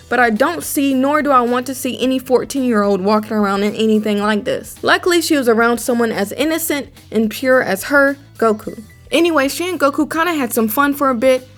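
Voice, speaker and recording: Higher-pitched young woman, conversational commentary. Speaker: anime commentary video host. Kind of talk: conversational opinion commentary voice-over.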